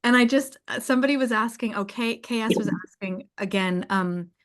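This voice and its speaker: A woman in casual, reflective conversation on a live online call, answering questions in a halting, thoughtful way as she searches for words. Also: on the high side. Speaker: co-creator hosting a live audience call.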